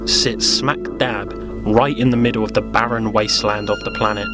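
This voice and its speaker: A man's voice: fairly low pitch, moderate pace, loud music behind the voice. A man narrating a scripted audio drama parody as its storyteller.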